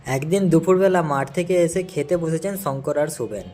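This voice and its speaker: A man narrates a scripted cartoon story in a fairly high voice. Faint music plays behind him. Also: normal speed, darkish tone.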